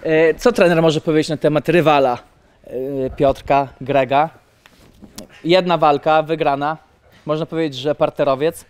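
A young male interviewer whose voice sits a little above the usual pitch. He asks quick, chatty follow-up questions full of fillers into a handheld mic in an indoor press area. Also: fairly even intonation, clean tone. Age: young adult. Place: indoor press area in front of sponsor banner wall.

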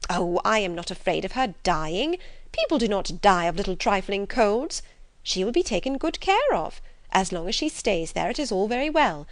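A woman voicing an excitable, self-satisfied middle-aged mother in a classic novel audiobook, gushing and emphatic. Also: medium pitch, moderate pace, no room sound, natural voice. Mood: brisk, insistent.

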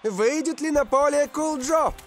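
A man voicing an excitable, dramatic football commentator in an animated cartoon. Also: extremely high pitch, measured pace, faint music behind. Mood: excited. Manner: dramatic announcing.

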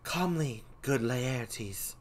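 A man's voice: mid-range voice, expressive, slow pace, fairly bright tone, a little hiss or hum. A man voicing an anxious queen, speaking in a pleading, alarmed way.

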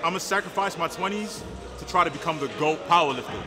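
A twenty-five-year-old man and powerlifter chatting casually in a gym between lifts, loud and excited. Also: on the high side, fast talker. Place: large indoor gym. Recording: music playing behind. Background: gym music.

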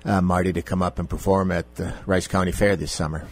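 Low-voiced older man on radio. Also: steady tone, a little fast. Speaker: county fair official, guest. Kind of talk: casual, halting radio talk. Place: small radio studio. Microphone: close broadcast mic on boom arm.

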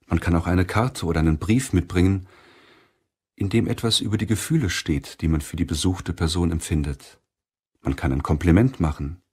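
A man narrating a self-help audiobook in a measured, deliberate way. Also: low voice, darkish tone, breathy edge.

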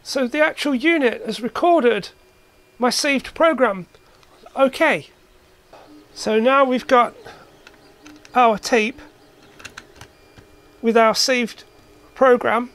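A man's voice: extremely high pitch, takes it slowly, long pauses, a bit bright. A man giving a casual, hands-on demonstration as an electronics hobbyist in his workshop. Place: cluttered indoor electronics workshop.

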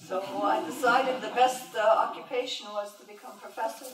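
A woman's voice: a little high, steady tone, moderate pace, crowd noise. An older woman from Montreal, a professor and translator, answering audience questions in a thoughtful way with many fillers. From Montreal, Canada. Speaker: professor and translator giving a talk. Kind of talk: lecture and audience Q&A. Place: large lecture room with an audience. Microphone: podium microphone. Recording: roomy hall sound, mic heard from a distance.

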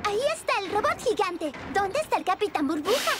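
An eager young cartoon girl, chirpy and confident. The voice is very high and swoops about, over loud music. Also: big pitch swings, brisk. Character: eager young girl hippo reporter and superheroine. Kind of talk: dubbed children's cartoon acting.

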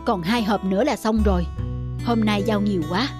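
A woman's voice: mid-range voice, normal speed, natural voice. A woman voicing an anxious middle-aged cartoon delivery man with a northern Vietnamese accent, stammering, apologetic and imploring. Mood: worried, rushed. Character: anxious, pleading middle-aged male pizza delivery driver. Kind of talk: cartoon dubbing. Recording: music under the dialogue.

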